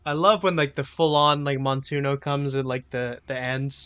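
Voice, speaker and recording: A man's voice: mid-range voice, fairly even intonation, moderate pace, sharp tone, smooth. A young man hosting a casual music chat, eagerly explaining song meanings and styles. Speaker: podcast host.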